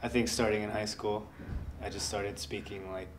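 Laid-back guy in a workshop, lowish voice, lots of ums and likes, faint room hum. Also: flat delivery, moderate pace. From United States. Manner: soft-spoken, rambling with many fillers. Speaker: interviewee, guitarist. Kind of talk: relaxed interview. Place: indoor workshop studio.

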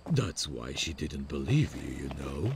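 A man voicing a lovesick, childlike, awkward slasher killer in a horror-parody ASMR roleplay, halting and shy in a gravelly, husky low voice. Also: big pitch swings, noisy background, exaggerated voice. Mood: shy, smitten. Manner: halting, giggly, shy, slow. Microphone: close dry microphone.

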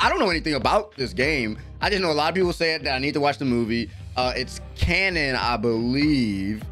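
A man's voice: fairly high pitch, fairly bright tone. A young man giving casual, slangy, exclamatory reaction commentary, recorded close on a desk microphone in a small bedroom. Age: young adult. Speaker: anime reaction host. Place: small bedroom streaming setup. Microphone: desk microphone close in front.

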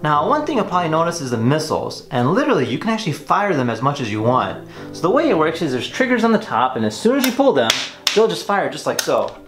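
Man in his twenties, enthusiastic casual toy reviewer, animated pitch, music behind. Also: fast. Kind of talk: upbeat toy unboxing and demonstration. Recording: roomy echo, music behind. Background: clear background music.

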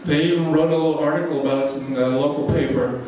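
A man's voice: fairly high pitch, fairly even intonation, quick pace, a little mellow. Give me a man in his seventies from Georgia, loose and rambling, recorded from a distance in a very echoey hall with faint background noise. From Georgia, USA. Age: 70s. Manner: rambling, many fillers, chuckles often. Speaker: author giving a talk. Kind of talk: informal lecture with slides. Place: large church hall. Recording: echoey hall, mic far off.

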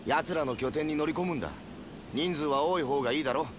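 An actor playing a brash, good-hearted young fighter in a game, casual and rough-spoken. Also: male voice, fairly high pitch, fast talker, close to an everyday voice. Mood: blunt, friendly. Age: young adult. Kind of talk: anime-style video game acting. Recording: clear outdoor ambience noise behind.

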